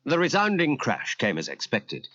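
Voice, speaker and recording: Man narrating a kids story tape, dramatic n expressive, big swings in pitch, slightly dull old cassette sound. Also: normal speed, noisy background. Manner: theatrical, expressive. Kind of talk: dramatic scripted children's storytelling. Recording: muffled cassette transfer.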